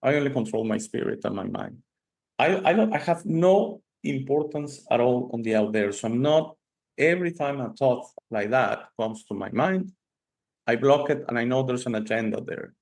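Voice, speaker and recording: A man from Italy hosting an interview, talking earnestly and repeating phrases for emphasis, his pitch jumping up on key words. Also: lively intonation, normal speed, vocal fry, a little mellow. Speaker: show host.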